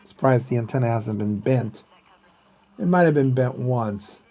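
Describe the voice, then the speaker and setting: A middle-aged man giving a casual hands-on explanation in a halting manner, as if thinking aloud. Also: medium pitch, dark tone, soft background music. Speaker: vlogger showing a vintage radio.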